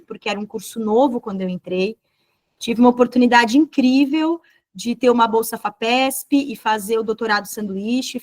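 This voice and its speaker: A woman with a Brazilian Portuguese accent giving an online lecture as a dentist, explaining fluently and often checking in with tag questions. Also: a little high.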